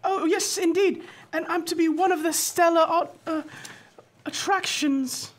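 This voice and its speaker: Squeaky tiny English gentleman. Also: fairly even intonation, measured pace. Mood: proud, chatty. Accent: British. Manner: plummy, fussy old-fashioned British speech with hesitant stammers. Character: tiny jolly English gentleman circus performer, chipper and vain. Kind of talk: old-time radio serial acting.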